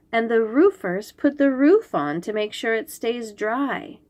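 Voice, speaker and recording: A woman reads aloud for small children at an unhurried pace, with a fairly high voice that swings up and down. She explains in simple words, asks questions and pronounces key words carefully, like a storytime teacher. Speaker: host reading picture books aloud to young children.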